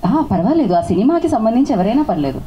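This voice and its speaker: A woman's voice: fairly high pitch, sing-song, racing, warm tone, slight background noise. A woman hosting a live stage event in a chatty way, speaking into a microphone. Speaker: event host.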